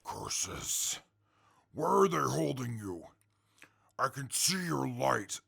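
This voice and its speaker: An actor playing an irritable captive fighter in a post-apocalyptic audio drama, gruff, blunt and halting, with a raspy, gravelly voice. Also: male voice, mid-range voice, sing-song, sharp tone, exaggerated voice. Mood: angry.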